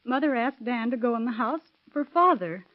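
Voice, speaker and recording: An actress playing an anxious farm child in a 1930s radio drama. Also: female voice, fairly high pitch, expressive, normal speed, close to an everyday voice. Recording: dull, muffled vintage radio recording.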